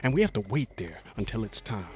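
An actor playing a haunted, rough-spoken US Cavalry veteran, telling his story in a folksy, halting way in a radio drama. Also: lowish voice, very animated, faint music behind. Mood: plain, uneasy. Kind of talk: dramatic first-person monologue, radio drama.

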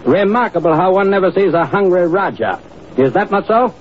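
An actor playing an evasive, fussy and officious police inspector in a 1950s radio drama. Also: male voice, high-pitched, expressive, brisk. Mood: defensive. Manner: fussy, defensive. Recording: tinny, hissy old radio recording.

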